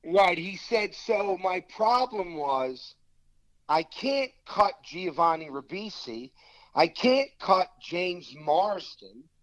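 A man from South Philadelphia with a noticeable local accent, recounting film-set anecdotes in a casual interview. His voice sits a little high for a man and he slips into quoted dialogue as he tells it. The recording is dry and close. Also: takes it slowly, dry recording, lo-fi sound. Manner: animated anecdote-telling, quoting others in dialogue. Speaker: actor guest.

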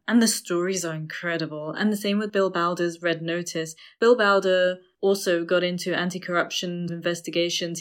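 Woman explaining things in casual discussion. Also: on the low side. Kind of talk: casual discussion of books and current affairs.

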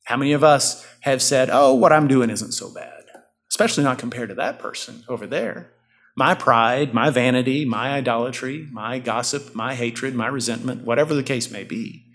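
A man's voice: mid-range voice, normal speed, fairly bright tone. Earnest man preaching a sermon. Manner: earnest, rising and falling preaching cadence. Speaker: pastor.

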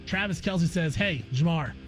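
A man's voice: on the high side, normal speed, faint music behind. A man hosting a sports talk show, chatting casually and repeating phrases for emphasis. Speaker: sports talk radio host.

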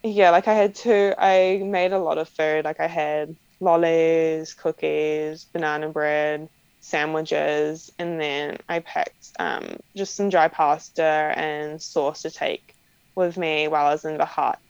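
Chatty New Zealand woman, gravelly low voice. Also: little pitch movement, slow pace, a little mellow, no room sound. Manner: chatty, frequent "like" fillers and light laughs. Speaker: professional cyclist guest. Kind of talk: casual interview answers. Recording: slightly dull lo-fi sound.

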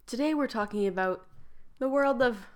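Young woman, lisp, low voice, podcast co-host, casual, dry teasing humor. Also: normal speed. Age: young adult. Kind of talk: casual chat.

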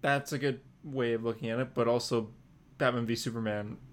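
A man co-hosting a podcast in casual news chat, with a hesitant, apologetic and halting way of talking. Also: mid-range voice, steady tone. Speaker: podcast co-host.

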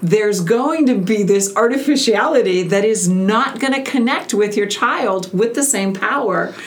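A woman's voice: medium pitch, expressive, unhurried. A woman hosting a podcast interview, affirming her guests and thinking aloud as she talks. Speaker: podcast host. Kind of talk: casual interview chat.

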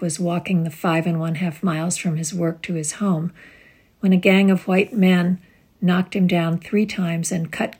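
A woman reads poetry aloud in a measured recitation. Her voice sits a little low, with a husky grain and plenty of creak at the edges. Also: a little mellow. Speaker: community poetry reader.

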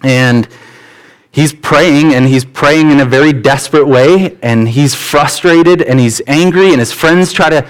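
A man's voice: fairly high pitch, unhurried, rough recording. A man preaching a sermon as pastor, earnest and conversational, often restarting his phrases. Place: church.

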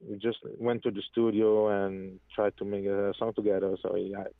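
A man's voice: lowish voice, flat delivery, moderate pace, creaky, no echo. Hesitant man on a tinny phone line. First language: French. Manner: hesitant, searching for English words, many restarts. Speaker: guest singer. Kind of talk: interview over the phone. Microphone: telephone.

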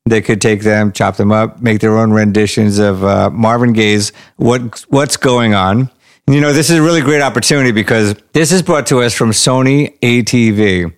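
A man's voice: fairly low pitch, moderate pace. A man hosting a music podcast, speaking in a casual conversational way as a fellow musician. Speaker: podcast host and bass player. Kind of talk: casual podcast interview and introduction. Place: music recording studio.

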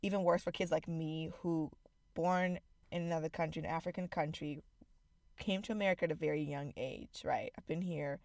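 A young woman from Zimbabwe, co-hosting a podcast in candid, animated casual chat with frequent fillers. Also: low voice, normal speed, no room sound, studio quality. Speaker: podcast co-host.

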